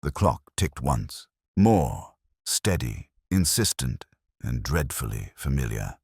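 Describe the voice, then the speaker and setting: An extremely low-pitched man narrates scripted mystery fiction in a measured, dramatic manner. His intonation moves expressively, and the sound is close and dry. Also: big pitch swings, gravelly, darkish tone, slightly rough, dry recording. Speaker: narrator of detective audio fiction. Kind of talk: scripted first-person mystery narration, audiobook reading.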